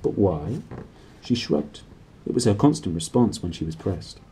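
A man in his thirties reading a storybook aloud with expressive, dramatic phrasing. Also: fairly low pitch, moderate pace, slightly rough, low-quality recording. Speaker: book reader and presenter. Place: small indoor room.

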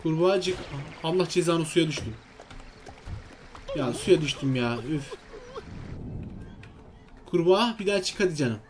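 A man's voice: fairly high pitch, a little slow, long pauses, slight background noise. A man giving casual, exclamatory commentary on a video game, talking to himself and to his viewers. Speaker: gaming video host.

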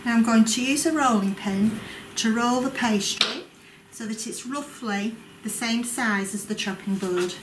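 Cooking instructor, woman, 40s; slow, patient delivery, distant mic in a roomy kitchen with noise. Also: on the high side. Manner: calm, clear, instructive. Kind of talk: step-by-step cooking demonstration. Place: large college kitchen classroom, indoors. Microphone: distant camera mic. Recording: echoey room, voice from afar. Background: kitchen clatter and room noise.

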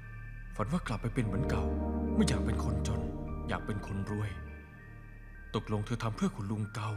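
A man's voice: on the low side, sing-song, moderate pace, over loud music. An actor playing a concerned younger man in a dubbed TV melodrama, coaxing and reasoning with someone. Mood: doubting.

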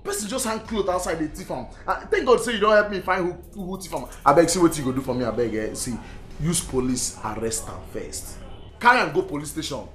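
A man's voice: fairly high pitch, lively intonation, fast talker, natural voice. A man with a Nigerian accent playing an evasive young boyfriend in a Pidgin comedy-drama, stammering and whining his innocence. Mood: flustered, defensive. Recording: echoey room, music behind.

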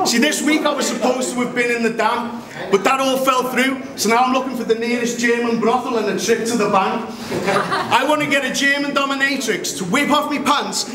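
Very high-pitched, brash Liverpool male battle rapper. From Liverpool, England. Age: young adult. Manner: loud, forceful, brash delivery. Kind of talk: a cappella battle rap. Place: crowded indoor club venue. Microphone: overhead boom. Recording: echoey venue with loud crowd.

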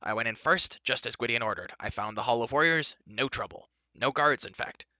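A man's voice: medium pitch, animated, fairly quick, sharp tone, no room sound, dull audio. A man doing a snappish little fair-folk creature. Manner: snappish, blustering.

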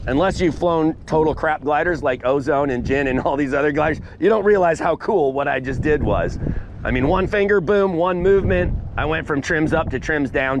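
A man runs an outdoor flying lesson on a beach, his voice lively and swinging up and down as he stresses each point. He talks in punchy bursts, dropping "boom" and "bam" for effect. Faint outdoor noise sits behind his voice from a head-mounted camera. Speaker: paramotor instructor. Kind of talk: outdoor class demonstration. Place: open sandy beach outdoors. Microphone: head-mounted camera mic.

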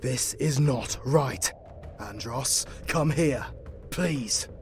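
A woman's voice: a bass voice, extremely slow, darkish tone, faint music behind, heavily acted. A woman playing a chained demon hunter in an audio drama, blunt, urgent and colloquial. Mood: urgent, grave. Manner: colloquial, blunt, says ain't and mate.

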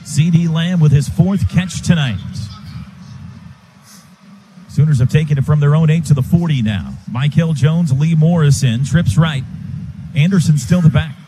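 A man calling live football on the radio as play-by-play announcer, in clipped, rapid-fire phrases. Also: on the high side, warm tone. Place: stadium radio booth. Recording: noisy stadium broadcast.

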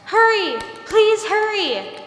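Young woman as slow, high-voiced ghostly girl. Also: very animated, fairly bright tone, slight background noise, heavily acted. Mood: urgent, pleading. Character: ghostly, urgent young girl long locked in a chest. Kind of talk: 1940s radio drama acting. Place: stage of a school theater. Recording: echoey reverberant hall.

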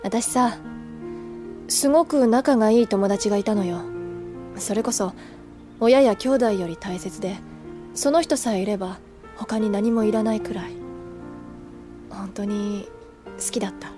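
A woman's voice: medium pitch, measured pace, long pauses, warm tone, soft background music, natural voice. Languid, teasing older schoolgirl, acted by a woman. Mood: wistful. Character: teasing, wistful older schoolgirl, candid upperclasswoman. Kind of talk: anime audio drama acting.

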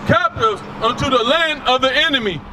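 A man reading Bible verses aloud outdoors, slow and deliberate. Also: very high voice, recorded from a distance, crowd noise, background noise. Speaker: scripture reader. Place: outdoors by a street.

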